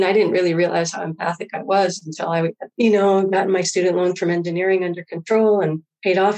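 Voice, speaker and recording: Female interview guest, middle-aged, husky edge to the voice, loose and reflective conversational storytelling. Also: lowish voice, darkish tone. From Hawaii. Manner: informal, rambling, quotes own thoughts. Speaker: interview guest, former engineer.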